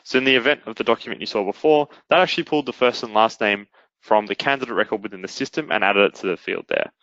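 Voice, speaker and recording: Quick-talking man presenting a software demo. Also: fairly low pitch, no echo, dull audio. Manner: instructional, step-by-step. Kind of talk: explanatory webinar demo narration.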